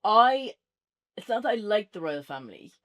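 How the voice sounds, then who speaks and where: A woman's voice: mid-range voice, sing-song, moderate pace, highs cut off. An Irish woman chatting casually as a podcast co-host, trading banter in an unscripted review. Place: indoors on a couch, podcast setup.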